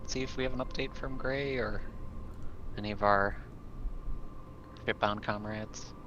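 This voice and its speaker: A man's voice: on the low side, fairly even intonation, measured pace. A man playing a tabletop roleplaying game in a casual, hesitant, stammering way, half in character. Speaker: tabletop player, playing the captain. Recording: dull lo-fi sound, faint noise.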